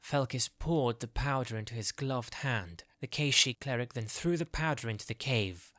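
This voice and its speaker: A man narrates a scripted fantasy drama in a mid-pitched voice. The sound is close and very dry, like a studio booth. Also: moderate pace, sharp tone, no room sound. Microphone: close, dry studio mic.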